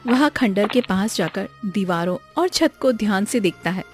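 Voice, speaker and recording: A woman's voice: mid-range voice, lively intonation, normal speed. A woman reading a scripted moral story for children as its narrator. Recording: music behind the voice. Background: background music.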